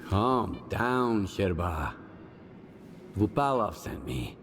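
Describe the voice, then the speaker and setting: A man's voice: lowish voice, animated, very slow, big-room sound, faint music behind. An actor playing a terse, determined young male mercenary wanderer in a video game, stoic and sober in manner. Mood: calm, terse. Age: young adult. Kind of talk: video game cutscene acting.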